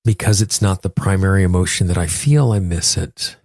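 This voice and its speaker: Male self-help coach and host, lower register, conversational and instructive, dry recording. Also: moderate pace, darkish tone, no echo. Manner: explanatory, instructing the listener directly. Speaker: podcast host and tapping coach. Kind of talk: conversational self-help talk and guided tapping exercise.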